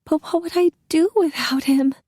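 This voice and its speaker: A woman voicing a frightened young battered wife who pleads in a shrill, panicky way. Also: sing-song, slow pace, dark tone, husky, dry recording, clean studio sound, full character voice. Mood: anxious.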